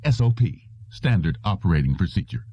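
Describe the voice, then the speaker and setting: A man's voice: sits low, expressive, fairly quick, husky, full character voice. A man voicing a nervous, fretful, heavy-set businessman who muses and mumbles in an audiobook. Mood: anxious explaining. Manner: mumbling, musing. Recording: roomy, dull lo-fi audio.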